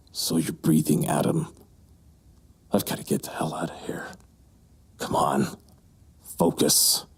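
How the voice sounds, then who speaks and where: Man acting a very deep gravelly brooding narrator. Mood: strained, frustrated. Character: brooding, lustful, menacing male narrator recounting a dark memory. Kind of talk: scripted first-person horror audio drama narration.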